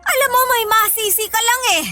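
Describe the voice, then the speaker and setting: A woman's voice: very high voice, fairly even intonation, brisk, pushed and bright, soft background music. A woman with a Filipino accent playing a hardworking, long-suffering older mother in a radio drama, plaintive and motherly. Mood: hurt, scolding.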